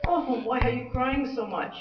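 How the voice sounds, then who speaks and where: A man's voice: extremely high pitch, normal speed, reverberant, distant mic, lo-fi sound, noisy background, voice pushed up. A man voicing a sympathetic Irish pastor in a put-on Irish accent, with a soothing, consoling delivery.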